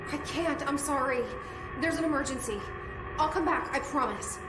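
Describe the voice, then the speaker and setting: An actress playing a hurried female agent who apologizes as she rushes off to an emergency. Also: sits high, over loud music, lightly acted. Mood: hurried apologetic. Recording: echoey room with loud ambient noise.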